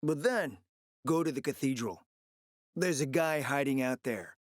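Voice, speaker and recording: A man's voice: medium pitch, unhurried, no echo, clean studio sound, close to an everyday voice. An actor playing a smug, flirtatious, decadent gourmand fixer in a video game, speaking in a pompous, theatrical, condescending way and savoring his words. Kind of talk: video game character acting.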